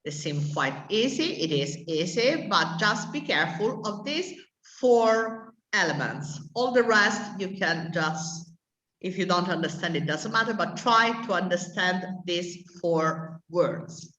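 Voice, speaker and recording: A woman's voice: lowish voice, animated, measured pace, a bit bright, roomy sound, lo-fi sound. A woman teaching English to adult learners, stopping often to search for words mid-sentence. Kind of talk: classroom explanation to adult learners.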